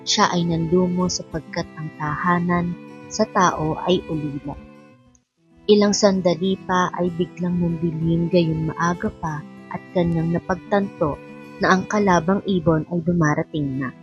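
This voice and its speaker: A woman's voice: lowish voice, steady tone, measured pace. A woman narrating an epic poem in scripted, dramatic radio style on a lo-fi recording. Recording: dull lo-fi recording. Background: faint music.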